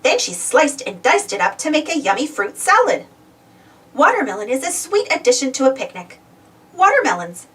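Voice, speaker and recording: High, very animated woman reading a storybook. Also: normal speed, recorded from a distance. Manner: expressive, simple delivery for young children. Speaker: storybook reader. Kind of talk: scripted read-aloud children's storybook narration.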